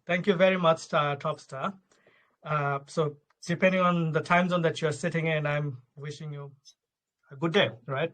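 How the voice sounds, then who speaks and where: Young Bangladeshi-born founder, fairly high voice, rambling and casual, forever tacking on "right?". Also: male voice, fairly even intonation, normal speed, fairly bright tone. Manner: loose, repeats "right?" and restarts often. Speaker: token project founder. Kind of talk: live audio AMA talk. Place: Luxembourg.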